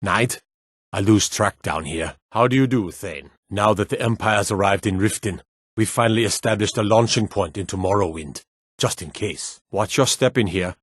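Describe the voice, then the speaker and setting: A man voicing a stern, dutiful fantasy city guard in a video game, speaking in a gruff, formal, clipped way. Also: on the low side, normal speed, no room sound, studio quality. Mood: formal, respectful. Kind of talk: scripted game character dialogue.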